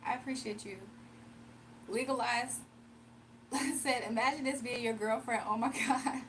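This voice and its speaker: Woman live-streamer, chatty, slightly strained voice, fairly high pitch, faint room ambience. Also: unhurried, a bit bright, slight background noise, poor audio. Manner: chatty, reads out viewer comments, laughs often. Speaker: livestream card reader. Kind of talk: casual live-stream chat and readings.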